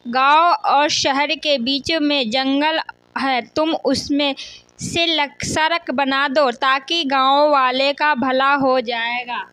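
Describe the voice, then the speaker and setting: A woman's voice: high-pitched, steady tone, slow, sharp tone, no echo, heavily acted. A woman voicing a civic-minded village headman in a storybook tale, giving instructions. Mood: earnest, instructing.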